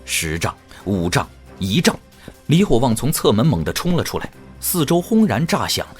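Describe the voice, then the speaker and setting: A man's voice: mid-range voice, big pitch swings, normal speed. A man narrating a fantasy audio drama in a dramatic, scripted storytelling style. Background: music.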